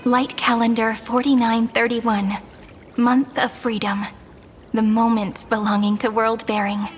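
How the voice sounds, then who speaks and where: A woman as a soft-spoken gentle prophetess. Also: on the high side, little pitch movement, measured pace, natural voice. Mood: solemn. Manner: soft, solemn, reverent. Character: gentle wistful young woman prophesying a new world. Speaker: game character. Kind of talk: game cutscene voice acting. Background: orchestral music.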